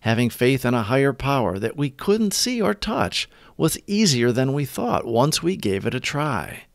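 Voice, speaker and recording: A man reads a scripted reflection in a measured, deliberate way. His mid-pitched voice rises and falls with a lot of expression. The sound is dry, with no room echo at all. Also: big pitch swings, creaky. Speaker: host of recovery readings. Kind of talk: scripted devotional reading.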